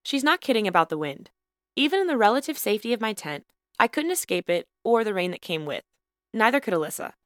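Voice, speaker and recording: A woman's voice: mid-range voice, sing-song, quick pace, dry recording. Woman narrating a podcast in a dry voice. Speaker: podcast host and student reporter. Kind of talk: scripted narration.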